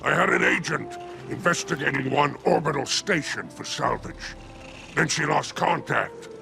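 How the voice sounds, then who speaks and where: An actor playing a sly, mercenary alien crime boss in a video game, with a gravelly voice and wheedling, drawling sales talk. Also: male voice, a little high. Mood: grim, businesslike. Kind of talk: video game character acting.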